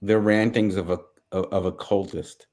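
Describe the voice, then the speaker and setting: A middle-aged American man giving news commentary on a video call, halting and searching for words. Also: low-pitched, flat delivery, a little mellow. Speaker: panelist. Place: small home office, indoors.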